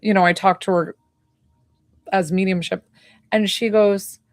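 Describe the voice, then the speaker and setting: A woman in her forties, an intuitive healer, chatting casually on a live stream, talking softly with a slightly hoarse voice and many fillers. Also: mid-range voice, fairly even intonation, measured pace, no room sound, clean studio sound.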